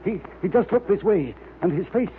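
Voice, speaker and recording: A man's voice: high-pitched, lively intonation, normal speed, phone-quality sound. An actor playing a nervous, evasive man in a radio drama, stammering and pleading. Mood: jittery, afraid. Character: nervous, frightened, evasive man under threat. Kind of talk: 1930s-40s radio drama acting. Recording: thin, noisy vintage radio recording.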